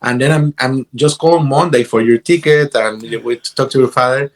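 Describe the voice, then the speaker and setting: Man, Guatemalan Spanish accent, mid pitch, casual storytelling, halting, repeated words, interview guest. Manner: halting, repeats words, peppered with "you know". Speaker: interview guest, guitarist and music teacher.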